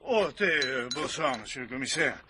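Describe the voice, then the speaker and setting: An actor playing a timid, talkative cemetery keeper, hesitant and folksy, in a French radio crime drama. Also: male voice, mid-range voice, expressive, moderate pace, sharp tone, slight background noise. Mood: startled, chatty.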